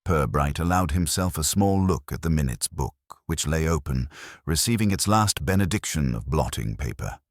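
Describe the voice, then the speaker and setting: A man narrating a detective story in a measured, dry, formal storytelling manner. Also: a bass voice, animated, no echo. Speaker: audiobook narrator.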